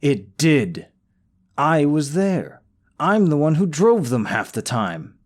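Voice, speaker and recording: A man's voice: sits low, unhurried, a little mellow. A young man acting in an audio roleplay as a scheming, possessive boyfriend, falsely sweet and coaxing, snide about his rival. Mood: indignant.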